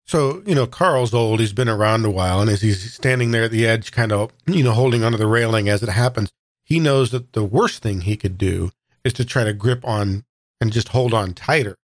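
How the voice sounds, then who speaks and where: A man's voice: lowish voice, fairly quick, no echo, studio quality. A man taking part as a player in a tabletop game, casually explaining what his character does.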